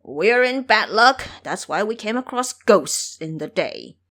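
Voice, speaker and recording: A woman's voice: medium pitch, animated, unhurried, a bit bright. A woman voicing a lazy, blustering old male boar monster in an audio drama, grumbling and blunt. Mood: gloomy.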